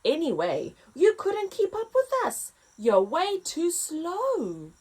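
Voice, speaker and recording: A woman voicing a noisy, scornful ostrich with a loud, dismissive manner, in a children's storybook reading. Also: extremely high pitch, huge pitch swings, slow, pitched above the performer's own voice, exaggerated voice.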